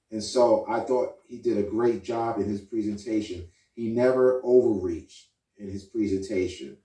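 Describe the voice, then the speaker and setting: A man's voice: on the low side, warm tone. A man delivers video commentary in an insistent, explanatory way, talking straight to his viewers. The sound is roomy and echoey, and he sits some way from the mic. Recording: roomy echo, distant from mic.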